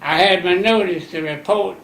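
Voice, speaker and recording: A man in his nineties slowly recounting old memories in a folksy, rambling way, using plain rural grammar. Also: high-pitched, vocal fry, a bit bright, roomy sound. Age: 90s. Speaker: interviewee. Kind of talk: rambling recollection.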